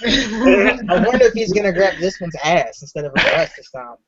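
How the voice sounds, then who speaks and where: A man's voice: high-pitched, sing-song, moderate pace, crowd noise. Sarcastic man at the table. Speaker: player. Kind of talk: wry table talk. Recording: dry dull lo-fi mic.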